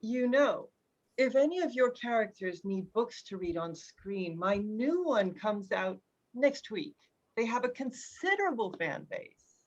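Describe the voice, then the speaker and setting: Snobbish, pushy female neighbor, played by an actress, syrupy with sweeping pitch; slightly muffled recording. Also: lively intonation, measured pace. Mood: self-promoting. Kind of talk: drama script table read. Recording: dull lo-fi microphone sound.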